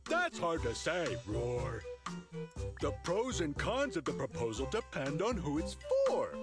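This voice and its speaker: Smug, sly cartoon lion commentator, showy and high-pitched, music underneath. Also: male voice, wildly swooping pitch, unhurried. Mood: opinionated, playful. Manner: theatrical, ends sentences with a roar. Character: sly theatrical lion mascot pundit, needling and smug. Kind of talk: cartoon game character acting. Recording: music behind.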